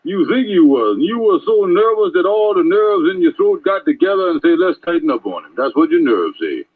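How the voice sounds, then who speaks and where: An actor playing a folksy know-it-all friend in an old radio comedy, emphatic and repetitive, on a tinny vintage recording. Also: male voice, high voice, big pitch swings, normal speed, breathy edge, exaggerated voice. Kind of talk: 1930s-40s radio comedy acting.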